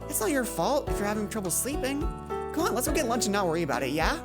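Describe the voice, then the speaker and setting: A woman voicing a caring, anxious schoolgirl best friend in a visual novel, urging gently with concern. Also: medium pitch, very animated, fast, loud music behind the voice, close to an everyday voice.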